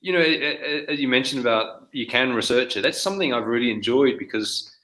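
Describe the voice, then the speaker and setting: Bright-toned man who rambles. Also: mid-range voice, brisk, low-quality recording. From New Zealand. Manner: rambling, with frequent restarts and fillers. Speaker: guest author. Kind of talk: casual interview conversation.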